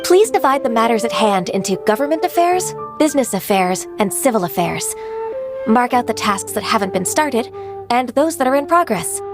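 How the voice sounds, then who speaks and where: A woman voicing a gentle, earnest young female secretary in a video game, soft-spoken and sincere. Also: on the high side, big pitch swings, normal speed. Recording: game music behind.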